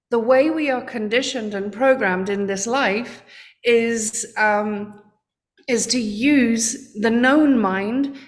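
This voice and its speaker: A woman, a guest on a podcast interview, explaining her ideas earnestly and often repeating words as she searches for them. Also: fairly high pitch. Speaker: guest, trading coach.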